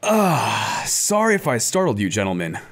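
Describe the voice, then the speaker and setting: An unflappable, genial superhero of radio drama acted by a woman. The voice sits extremely low yet swoops widely in pitch. The manner is breezy and good-humoured. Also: very animated, moderate pace, full character voice. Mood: wry, cheerful. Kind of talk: old-time radio drama acting.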